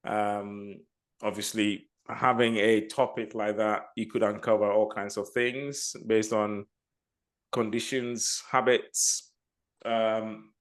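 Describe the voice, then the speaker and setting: A man's voice: on the low side, flat delivery, slow pace. A man speaking as a guest coach in an interview, reflective and often restarting his phrases. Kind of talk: casual interview chat.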